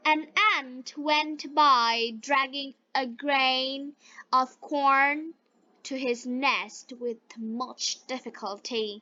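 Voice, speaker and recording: Woman english teacher, high voice, slow careful phrases for repeating, kinda lo-fi recording. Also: fairly even intonation, pushed and bright. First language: Bengali. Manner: deliberate, phrase-by-phrase enunciation. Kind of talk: slow read-aloud English lesson with repeat-after-me drills.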